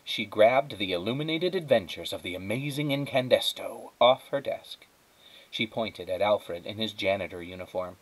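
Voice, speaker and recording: Male storybook reader, teacher reading to children, fairly low voice, lightly performed dialogue. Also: expressive, normal speed, rough recording. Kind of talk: children's storybook read-aloud.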